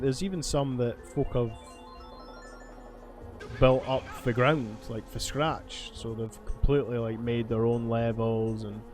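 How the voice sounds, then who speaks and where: A man giving rambling, sweary commentary as he plays a video game. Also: mid-range voice. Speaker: gaming video host. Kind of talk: casual gameplay commentary. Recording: faint game music behind. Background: video game music.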